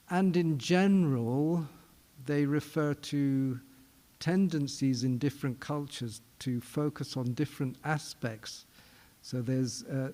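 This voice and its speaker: A middle-aged man from England giving a measured public lecture as a psychiatrist, posing questions and restarting phrases as he explains. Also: medium pitch, a little mellow, long reverb tail. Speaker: psychiatrist giving a forum talk. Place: conference hall.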